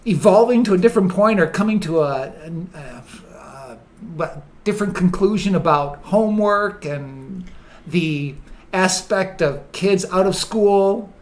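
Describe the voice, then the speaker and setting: A woman's voice: lowish voice, lively intonation, measured pace, darkish tone. A woman hosting a conversational interview, introducing her guest and asking questions with short encouraging replies. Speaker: host.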